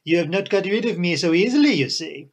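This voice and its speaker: A man's voice: high voice, expressive, brisk, voice pushed up. A man voicing a fussy, genial foreign detective with florid, formal phrasing in an audiobook. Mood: genial, sly. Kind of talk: audiobook character voice.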